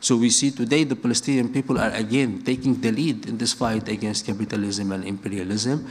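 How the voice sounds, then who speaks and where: A man's voice: medium pitch, darkish tone. A middle-aged man who grew up in Lebanon and speaks natively, giving an earnest public talk with frequent restarts and repeated phrases. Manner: earnest, building points through repetition, frequent restarts.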